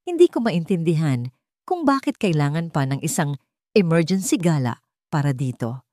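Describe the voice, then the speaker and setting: A woman's voice: low-pitched, sing-song, normal speed, mellow, no room sound, studio quality. Woman playing a smug older Filipino male villain. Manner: mocking, self-satisfied. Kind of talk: audio story character acting.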